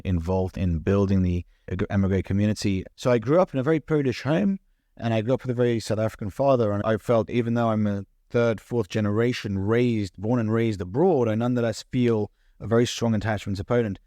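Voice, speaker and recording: A man's voice: on the low side, warm tone, dry recording, studio quality. A young man who grew up in the United Kingdom, talking earnestly in an interview and often restarting his sentences. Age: young adult. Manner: earnest, fast, stumbles and restarts. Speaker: podcast guest, social media founder. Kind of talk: interview conversation.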